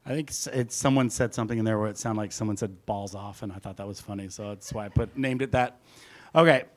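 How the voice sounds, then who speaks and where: A man's voice: lowish voice, fast, noisy background. A man, a music producer, giving an informal, rambling hands-on presentation, talking through each step aloud as he goes. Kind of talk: casual hands-on audio production talk.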